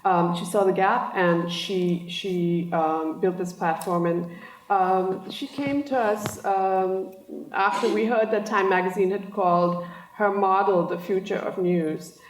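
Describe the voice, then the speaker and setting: A woman giving a panel presentation with slides, speaking haltingly with many pauses and ums. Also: mid-range voice, steady tone. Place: large hall. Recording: echoey hall from far off.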